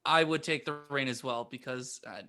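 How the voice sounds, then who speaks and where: American male podcast co-host, bright forward voice at mid pitch, casual joking banter. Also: moderate pace. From Arizona, United States.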